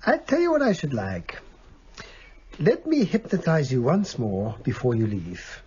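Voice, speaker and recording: An actor playing a calm, probing doctor and hypnotist in a radio drama, with a measured, courteous, formal manner. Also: male voice, mid-range voice, highs cut off, a little hiss or hum. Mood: courteous, thoughtful.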